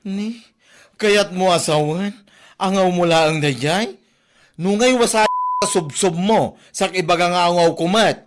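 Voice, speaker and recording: A man acting a feminine, sharp-tongued store owner with a Filipino accent, nagging a debtor sarcastically in a comedy drama. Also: high voice, measured pace, background noise. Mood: angry confronting. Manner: sarcastic, nagging, emphatic scolding.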